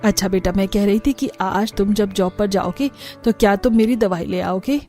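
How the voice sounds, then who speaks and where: A woman's voice: medium pitch, fairly even intonation, normal speed, warm tone, natural voice. A woman voicing a thrifty middle-aged mother-in-law in a cartoon, speaking matter-of-factly. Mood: friendly. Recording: music under the voice.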